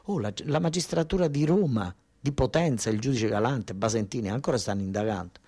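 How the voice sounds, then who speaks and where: A male storyteller with a Basilicata accent delivers a theatrical monologue in a medium-pitched voice. His speech is colloquial and tinged with dialect. He repeats phrases for emphasis and addresses listeners as though they were relatives at home. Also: a little fast, darkish tone, slightly rough. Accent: southern Italian, Basilicata. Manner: repetitive, emphatic, explaining to listeners as if to family. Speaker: stage actor performing a narrative monologue.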